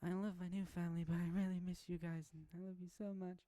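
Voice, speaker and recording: A man's voice: high-pitched, level tone, quick pace, warm tone, no room sound, voice pushed up, close to an everyday voice. A man voicing a cheerful, sweet little girl.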